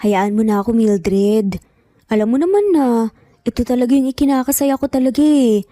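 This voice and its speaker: A kind-hearted young waitress, voiced by a female storyteller, humble and courteous. The voice is slightly high and mellow, with a soothing, polite way of speaking suited to a romance audio story. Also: lively intonation, normal speed, dry recording, lightly acted. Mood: gentle, concerned.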